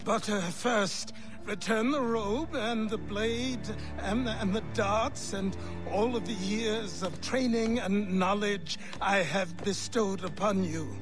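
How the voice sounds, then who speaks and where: An actor playing a proud, sardonic old mentor in a video game, with a dry, mocking, drawn-out delivery. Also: male voice, high-pitched. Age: older. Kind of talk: video game cutscene acting. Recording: music behind the voice.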